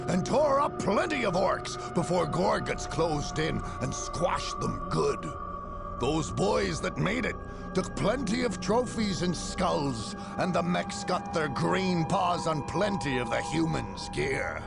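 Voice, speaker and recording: A man voicing a rough orc storyteller in a video game, gravelly and husky, relishing a colloquial telling. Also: a little high, big pitch swings, slow, loud music behind the voice, exaggerated voice.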